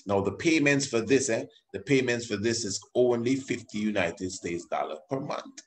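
A man's voice: fairly low pitch, normal speed. A man presenting a homeschooling program in informal promotional commentary, often repeating and rephrasing himself. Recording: faintly noisy voice recording.

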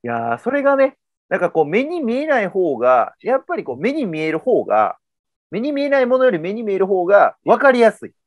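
A Kansai-accented man hosts a relaxed video-call chat, talking quickly with a lively, swinging pitch. His voice comes through dry and close, with no room sound around it. He sums up his guest's points in a loose, easygoing way. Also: no echo, highs cut off. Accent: Kansai Japanese. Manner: relaxed, summarizing, gestures while talking. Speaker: host. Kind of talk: casual video-call interview. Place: indoors at home.

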